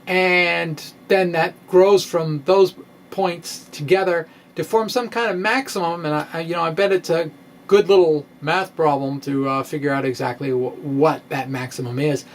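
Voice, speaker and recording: A middle-aged man gives an informal, theatrical science monologue in a halting, quirky storytelling manner. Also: on the high side, steady tone, slight background noise. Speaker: science vlogger, amateur physicist. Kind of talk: informal dramatic blog reading, explanatory monologue. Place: indoor room with bookshelves. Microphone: microphone on stand, close in front.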